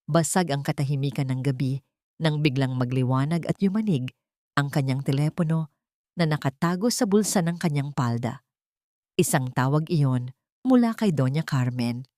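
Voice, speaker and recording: A woman's voice: sits low, a little mellow, no room sound, studio quality. A woman narrating a scripted dramatic story in a solemn manner.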